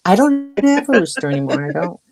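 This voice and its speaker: A woman's voice: on the low side, big pitch swings, moderate pace, vocal fry. A woman in casual banter on a group livestream voice chat, matter-of-fact and teasing, with other people chattering behind her. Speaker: livestream panel participant. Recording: busy overlapping voices behind. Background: other callers chattering.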